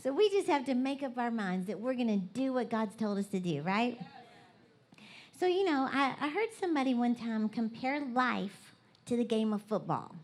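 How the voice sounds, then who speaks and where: A woman's voice: fairly high pitch, expressive, normal speed, darkish tone. A woman with a Southern US accent giving a bubbly, folksy, conversational motivational talk to a live church gathering. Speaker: guest motivational preacher. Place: large indoor church event.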